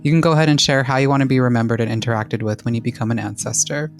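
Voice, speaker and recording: A man's voice: medium pitch, racing, soft background music. A man co-hosting a podcast, reading a friendly, upbeat outro. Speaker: podcast co-host.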